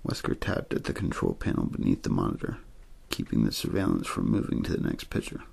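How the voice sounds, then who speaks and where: An extremely deep-voiced man reads a story in ASMR style. His voice is husky, breathy and full of gravelly fry. He speaks softly in measured phrases, pausing often. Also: big pitch swings. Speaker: audiobook-style reader for an ASMR channel. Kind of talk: ASMR story reading, scripted narration.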